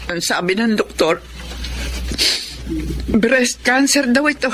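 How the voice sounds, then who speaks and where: A woman's voice: on the high side, expressive, slow, fairly bright tone, slight background noise. A woman playing a sick, worried but devout and resigned older mother in a Filipino radio drama. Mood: anguished.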